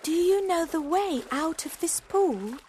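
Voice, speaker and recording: A man voicing a curious, fretful young girl in a high, wondering tone for a storybook. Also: lively intonation, unhurried, a little mellow, slight background noise.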